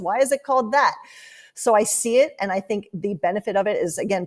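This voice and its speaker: A woman's voice: lowish voice, darkish tone. A woman giving conversational sports analysis as a studio analyst guest.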